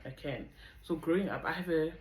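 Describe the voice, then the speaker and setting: A young woman with a South African accent and a deep voice, chatting in a rambling, casual way as she shares a personal testimony on her vlog. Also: brisk, darkish tone, echoey room, low-quality recording. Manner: chatty, rambling, drifts off topic. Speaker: vlogger.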